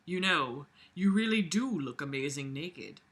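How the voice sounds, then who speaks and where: A woman's voice: deep voice, expressive, a little slow, fairly bright tone, pitched below the performer's own voice, heavily acted. A woman voicing an earnest, lovestruck teenage boy narrator from a novel, in a mocking, melodramatic way. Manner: mocking, overdramatic reading.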